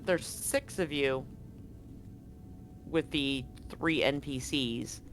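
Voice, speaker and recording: A man narrating a tabletop horror game as game master, in a relaxed, descriptive way. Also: medium pitch, extremely slow, soft background music.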